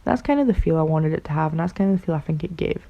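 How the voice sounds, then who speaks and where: Raspy, ill-sounding woman rambling. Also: low-pitched, expressive, rapid-fire. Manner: rambling and unsure, many fillers like "like" and "um". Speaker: game building commentator. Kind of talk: casual voice-over commentary on a game build. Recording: dull lo-fi recording.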